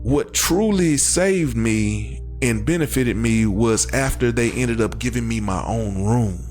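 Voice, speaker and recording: A man's voice: medium pitch, measured pace, darkish tone, faint music behind. A man speaking as an interview guest, telling his personal story conversationally and earnestly, repeating phrases for emphasis.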